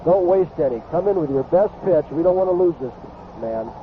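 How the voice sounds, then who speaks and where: A tinny, noisy old radio broadcast carries a male baseball color commentator. His voice is high for a man, and he analyzes play in a conversational, opinionated and emphatic way. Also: expressive, brisk.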